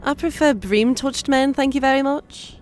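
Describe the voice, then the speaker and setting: A woman's voice: high voice, moderate pace, soft background music, natural voice. A woman playing a feisty, indignant fantasy woman who complains emphatically in an audio drama. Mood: defiant. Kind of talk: audio drama character acting.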